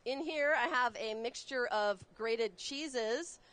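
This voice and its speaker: Woman chef, Texas-raised, live cooking demo, chatty, laughs easily, slightly high voice. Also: takes it slowly, fairly bright tone. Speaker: guest chef. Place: studio kitchen.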